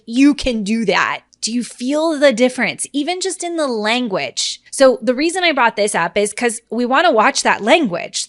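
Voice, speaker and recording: A woman podcast host and coach, talking straight to the listener with passionate emphasis and a slightly hoarse voice. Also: on the high side, a bit bright, no room sound, clean studio sound. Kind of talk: energetic motivational podcast talk.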